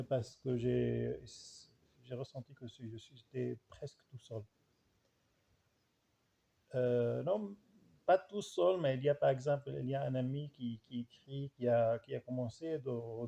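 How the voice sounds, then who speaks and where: Male, Arabic accent and mother tongue. Very dark, relaxed timbre with fry; halting, repetitive, informal delivery. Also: mid-range voice. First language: Arabic dialect. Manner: halting, searching for words, much repetition. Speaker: language researcher and writer. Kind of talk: informal spoken reflection.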